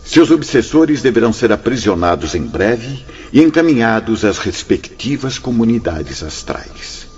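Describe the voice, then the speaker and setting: A Brazilian actor playing a kindly, paternal spirit director in a radio drama, speaking formally and solemnly with gentle reassurance. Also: male voice, mid-range voice, sing-song, a little slow, few pauses, a little hiss or hum. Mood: gentle, reassuring. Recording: dull radio drama sound with music.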